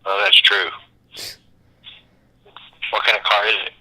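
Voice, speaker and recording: A man's voice: on the low side, flat delivery, unhurried, noisy background. A man working at an auto repair shop, talking casually on a phone line. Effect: telephone. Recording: sharp telephone line.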